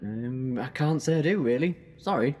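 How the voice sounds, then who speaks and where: A man's voice: medium pitch, animated, normal speed, a little mellow, natural voice. A man playing an ancient, flippant time-travelling hero in an audio drama, wry and given to urgency. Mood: flippant, puzzled.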